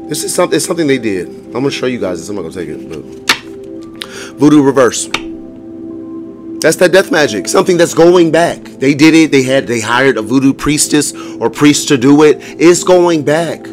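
A hoarse man giving a casual tarot reading to viewers, blunt and profane, often laughing as he talks. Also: mid-range voice, animated, normal speed. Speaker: tarot reader. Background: music.

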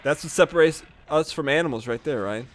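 A man's voice: on the high side, a little fast. A man making casual conversation with friends during a game. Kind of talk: casual gaming chat.

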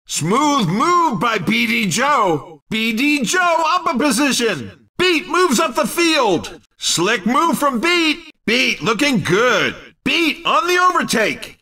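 An actor playing an exuberant, cheeky male race commentator in a video game, calling the action in punchy, excited exclamations. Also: very high voice, far from the mic, full character voice. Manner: punchy exclamations, hyped announcer delivery.

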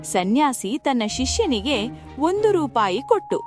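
High-pitched, slow expressive woman storyteller. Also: soft background music. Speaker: narrator. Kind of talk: scripted storytelling narration of a moral tale.

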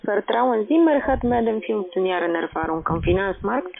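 A woman's voice: mid-range voice, expressive, moderate pace, vocal fry. A woman working as a call centre agent on a sales call, speaking with non-native grammar. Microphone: telephone. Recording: narrow tinny phone line.

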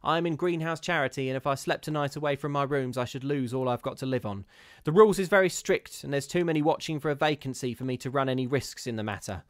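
A man doing a blunt old charwoman who scolds without mercy, her voice pitched well above his own and pushed out loud and hard. She fears nothing and says so harshly. The sound is close and dry. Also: fast, few pauses, dry recording, natural voice. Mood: earnest. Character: gruff, scornful old charwoman, unafraid.

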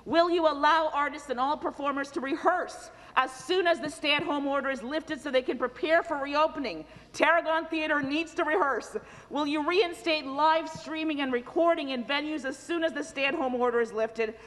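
A woman's voice: sits high, moderate pace, hardly any pauses, fairly bright tone, big-room sound, poor audio. A woman asking an urgent, pleading question as an opposition member in a large legislative chamber, on a desk microphone. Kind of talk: parliamentary question.

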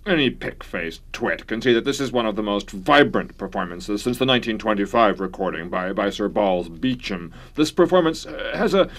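An actor playing a pompous, irascible classical music critic, haughty and ornate, in a satirical radio comedy. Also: male voice, mid-range voice, fairly bright tone. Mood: indignant.

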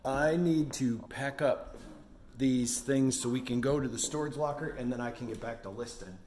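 A man's voice: mid-range voice, moderate pace, darkish tone, slight background noise. A man in his forties chatting casually in a vlog about his day at home. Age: 40s. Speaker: vlogger running an online resale business. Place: home office with bookshelves.

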